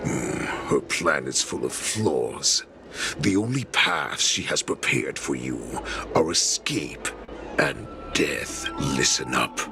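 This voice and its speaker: Game villain: alpha wolf warlord, extremely low and slow, imperious and scornful, music underneath. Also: male voice, lively intonation, exaggerated voice. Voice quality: deep gravelly. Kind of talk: dramatic video game voice acting.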